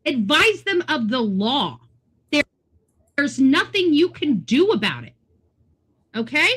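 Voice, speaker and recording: High-voiced, emphatic American woman. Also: sing-song, takes it slowly, a bit bright. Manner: emphatic, blunt, lecturing. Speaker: online true-crime commentator. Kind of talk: unscripted opinionated monologue commentary.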